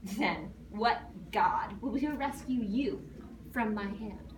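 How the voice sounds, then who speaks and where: Woman acting proud, wrathful king, animated and echoey. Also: mid-range voice. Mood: menacing. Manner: dramatic, declamatory, emphatic slow phrasing. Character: proud, wrathful ancient king, loud and commanding. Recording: distant mic in noisy, echoey room.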